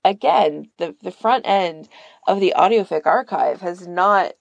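A woman's voice: on the low side, unhurried, gravelly, dry recording, dull audio. A woman from Canada chatting casually as a podcast host, rambling and peppering her talk with "like". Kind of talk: casual chat.

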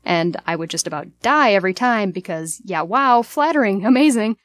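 A woman's voice: mid-range voice, animated, normal speed, no echo. A woman leaving a conversational voice message for a podcast, sharing reflective personal commentary in an earnest way.

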